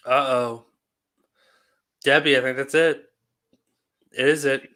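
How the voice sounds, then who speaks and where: A man's voice: medium pitch, steady tone, slow pace, bright, forceful tone, dry recording, studio quality. A man hosting a live stream, chatting casually with a guest in a playful, encouraging way. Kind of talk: casual chat.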